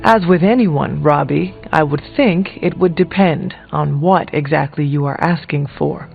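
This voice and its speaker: An actor playing a stoic, formal warrior hunter in a video game, speaking bluntly in a measured way. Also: male voice, on the high side, gravelly, narrow, tinny audio. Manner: measured, formal, without contractions. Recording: thin band-limited audio, faint music.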